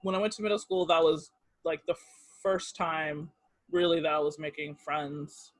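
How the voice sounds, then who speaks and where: A woman's voice: low-pitched, fairly even intonation, moderate pace, no echo. A woman in her thirties, raised in Japan, chatting casually as an interview guest over a video call, with lots of fillers and easy laughs.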